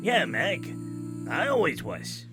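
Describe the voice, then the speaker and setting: A man voicing a goofy, loyal undead skeleton sidekick in an audio drama, drawling jokily with a gravelly edge. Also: on the high side, huge pitch swings, slow, heavily acted. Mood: warm, reassuring. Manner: jokey, exaggerated, drawling. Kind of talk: anime-style audio roleplay acting. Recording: music under the voice. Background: prominent music.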